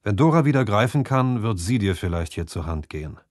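A man's voice: lowish voice, very animated, a little fast, darkish tone, no echo, studio quality. A man voicing a stern, plain-spoken village marksman in a fantasy audiobook. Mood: stern, reproachful. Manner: firm, plain-spoken, admonishing.

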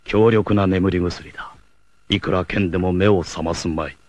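An actor playing a noble, fatherly blind rebel warrior in an anime, with a solemn, formal, measured delivery. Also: low voice, fairly even intonation, a little mellow, no echo. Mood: grave, earnest. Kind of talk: dramatic anime acting.

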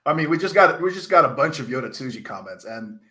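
A man in his thirties co-commentating on a wrestling stream over a video call, slightly under the weather and coughing, excitable and rambling, with a headset mic. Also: medium pitch, fast talker. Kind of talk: casual live-stream commentary chat.

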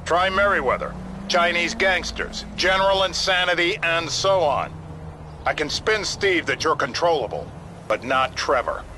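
An actor playing a scheming, exasperated federal agent in a video game, measured and placating as he reasons. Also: on the high side, big pitch swings, sharp tone, a little hiss or hum. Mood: persuasive.

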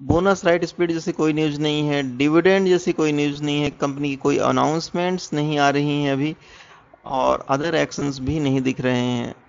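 An Indian man narrates an explanatory analysis in the manner of an online finance presenter. His voice sits a little high for a man, with a noticeable gravelly fry. The recording is dull and lo-fi. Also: fairly even intonation, moderate pace. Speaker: presenter of a stock market education channel. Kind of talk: explanatory stock analysis narration over screen share. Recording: dull, muffled lo-fi voice-over.

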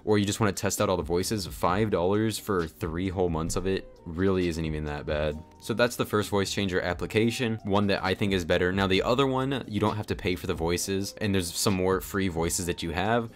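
A man narrating a casual software tutorial in a chatty, informal, explanatory way. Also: low-pitched, a little fast, hardly any pauses. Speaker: tutorial host. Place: voice-over on a screen recording. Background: background music.

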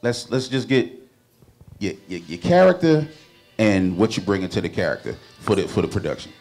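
A man co-hosting a casual panel interview in a restaurant, lively and repeating words as he starts sentences, speaking into a handheld mic. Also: lowish voice, moderate pace, faint music behind. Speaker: talk show co-host. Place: restaurant with wood-paneled walls.

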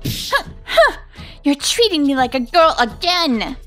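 A woman's voice: high voice, very animated, a little slow, sharp tone, heavily acted. A woman playing a brash, blunt teenage tomboy who gets shy and flustered, in an English-dubbed manga romcom cartoon. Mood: flustered, defiant. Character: brash tomboy teenage girl fighter, secretly girlish and shy. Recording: music behind the voice.

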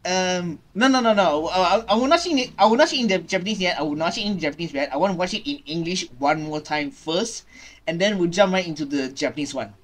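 A man in his twenties giving casual, halting reaction commentary, often repeating his phrases, recorded in a small bedroom. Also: high-pitched, expressive, a little hiss or hum. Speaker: reaction video host. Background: game trailer music.

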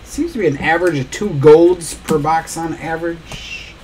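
A man's voice: on the high side, normal speed, darkish tone, breathy edge. Man calling out cards in a break. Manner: rattles off player names while opening packs. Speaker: card break host. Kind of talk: live card break commentary. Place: small indoor studio desk.

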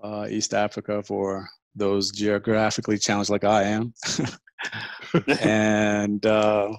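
Male guest from Ethiopia, low flat voice, dry close sound, relaxed storyteller. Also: slow pace, no room sound. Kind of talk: casual storytelling on a call.

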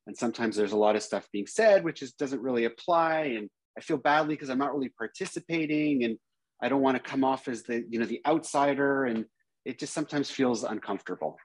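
A man, a native English speaker with a slightly husky voice, chatting casually in a group video call. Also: mid-range voice, a little fast. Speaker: educational technology consultant.

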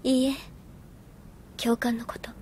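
High-voiced earnest young female soldier. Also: flat delivery, slow pace, dark tone, a little hiss or hum. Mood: quiet, wistful. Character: earnest, reserved young female soldier recalling her past. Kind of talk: anime audio drama acting. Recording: music behind the voice.

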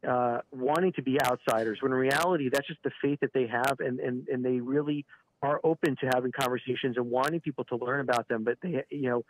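A man giving a live news interview by phone, halting and repeating words, often saying "you know". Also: medium pitch, fairly even intonation. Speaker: regional director of an advocacy organisation, interview guest by phone. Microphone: telephone. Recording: phone call with clear background noise.

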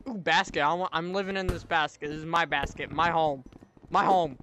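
A man's voice: a little high, steady tone, a little fast, pushed and bright, a little hiss or hum. A man bantering casually while playing a video game, teasing and often repeating himself.

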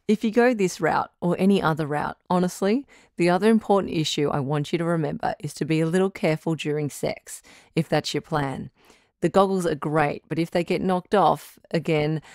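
Woman acting as radio advice doctor, low female voice, frank, reassuring, conversational, dry close studio sound. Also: a little mellow, no room sound. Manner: encouraging, frank, matter-of-fact. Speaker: radio advice show host.

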